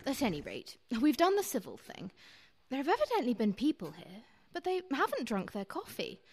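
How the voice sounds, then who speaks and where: A woman's voice: high-pitched, wildly swooping pitch, moderate pace, creaky, a little hiss or hum, heavily acted. An actress playing a poised, romantic runaway lady in a radio play, arch and refined. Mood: arch, curious.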